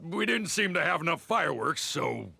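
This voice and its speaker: A man's voice: a little high, big pitch swings, normal speed, gravelly, bright, forceful tone, slight background noise, full character voice. An actor playing a shifty, cornered bandit leader in a video game, stammering and hesitant as he caves. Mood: cornered, sheepish. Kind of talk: video game story dialogue.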